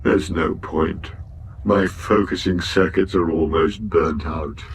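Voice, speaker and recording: A man's voice: steady tone, slow pace, gravelly, slight background noise, full character voice. A man playing an ancient, worn-out robot in a radio comedy drama, weary, doleful and sardonic, full of gloom and self-pity. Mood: resigned. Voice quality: low, weary drone.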